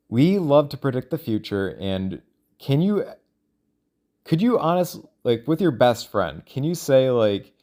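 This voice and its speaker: A man speaking in a casual, rambling monologue, thinking out loud in a halting, self-questioning way. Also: on the low side. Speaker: solo audio log creator.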